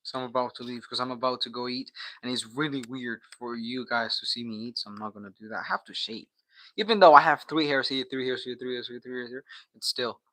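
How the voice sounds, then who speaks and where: Bright, punchy man chatting on a livestream. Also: medium pitch, fast talker, dry recording, rough recording. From Guatemala. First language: Spanish. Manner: slangy, bro-heavy, swearing. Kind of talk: casual livestream chat.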